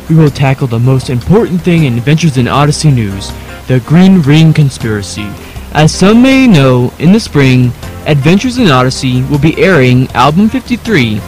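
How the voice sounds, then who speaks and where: Man playing male evening news anchor for a podcast skit: formal, upbeat, highish and very inflected. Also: darkish tone. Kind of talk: mock news broadcast.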